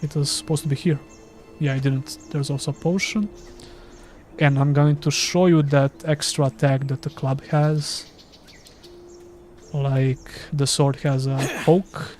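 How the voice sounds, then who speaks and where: A man's voice: on the high side, fairly even intonation, a little slow, a little mellow, soft background music. A man from Serbia with a Serbian accent, a gaming streamer chatting casually and self-deprecatingly while playing live. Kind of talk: casual live gameplay commentary.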